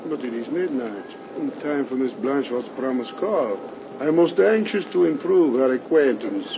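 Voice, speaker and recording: An actor playing a grave older country doctor in 1930s radio horror style. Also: male voice, mid-range voice, expressive, normal speed, close to an everyday voice. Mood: ominous, grave. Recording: crackly, muffled 1930s radio recording.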